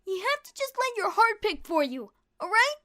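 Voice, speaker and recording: A woman's voice: very high voice, very animated, moderate pace, pushed and bright, heavily acted. A woman voicing a brash, encouraging young cartoon pegasus mare with an earnest, emphatic delivery.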